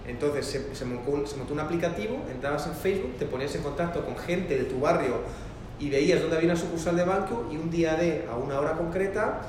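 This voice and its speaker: A man's voice: on the high side, quick pace. A man teaching a workshop in a loose, rambling lecture style, with a mixed Spanish and Argentine-sounding accent and many restarts. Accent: mixed, part Argentine-sounding Spanish. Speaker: workshop instructor. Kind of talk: classroom lecture. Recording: very echoey room with noise.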